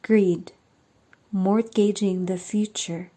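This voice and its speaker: Slow-speaking woman narrating a radio drama. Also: mid-range voice, steady tone, mellow. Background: faint music.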